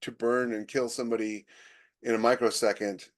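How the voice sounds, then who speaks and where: A man's voice: medium pitch, level tone, brisk. A man co-hosting a podcast, explaining things conversationally as a science fiction writer, with sentences that often trail off and restart. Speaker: podcast co-host and military sci-fi writer. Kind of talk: casual podcast discussion.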